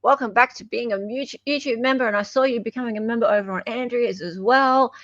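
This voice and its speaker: A woman's voice: fairly high pitch, quick pace, fairly bright tone, dry recording, highs cut off. An Australian woman hosting a casual livestream in a chatty, affectionate way. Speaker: livestream host.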